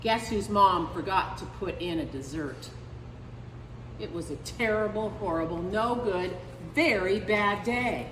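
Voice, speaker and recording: A woman reading a picture book aloud to children as a storytime librarian, expressively, with drawn-out emphasis on key words. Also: medium pitch. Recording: echoey reverberant room with faint noise.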